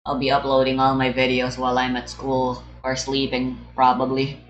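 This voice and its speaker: A man's voice: mid-range voice, monotone, moderate pace, muffled recording. A young man giving rambling, casual game commentary, musing aloud and often correcting himself as he goes. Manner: musing, hesitant, self-correcting. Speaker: gamer recording a playthrough. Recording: roomy sound over game music. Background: game music.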